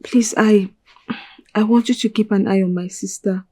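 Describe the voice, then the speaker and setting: An actress playing a wife gently coaxing her husband into favors. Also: mid-range voice, fairly even intonation, normal speed, dry recording, natural voice.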